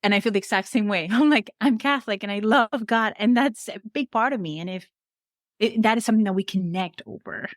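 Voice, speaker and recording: A woman's voice: medium pitch, brisk, no room sound, clean studio sound. A young adult woman with a Venezuelan accent, a life coach speaking earnestly as a podcast guest. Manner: earnest, reflective, speaks of faith warmly. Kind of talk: conversational interview.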